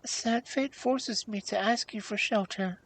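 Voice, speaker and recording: A woman voicing a young fairy-tale princess, gentle and sorrowful, with a polite, pleading, meek manner. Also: fairly high pitch, very animated, moderate pace, pitched above the performer's own voice, poor audio. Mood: tearful pleading. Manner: plaintive, polite, submissive. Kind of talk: storybook character voice.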